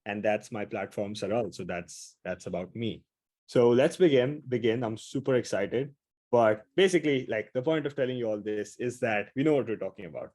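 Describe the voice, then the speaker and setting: A man's voice: on the low side, a little mellow. A man with an Indian accent from India, giving an upbeat, casual webinar presentation over a video call. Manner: upbeat, quick and casual, with frequent fillers.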